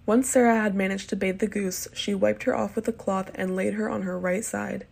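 A woman's voice: mid-range voice, fairly even intonation, normal speed, gravelly, darkish tone, slightly rough. A nineteen-year-old woman from California, giving a plain, unadorned dialect sample. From Palo Alto, California. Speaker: dialect archive speaker. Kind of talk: dialect sample reading and talk.

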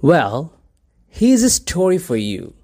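A man from Bangladesh, a native Bengali speaker, reads in English with a Bangladeshi accent. His voice sits a little higher than most men's and swings up and down a great deal. He reads carefully and deliberately. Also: wildly swooping pitch, darkish tone. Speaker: dialect archive speaker. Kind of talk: reading a set passage, then speaking about his culture.

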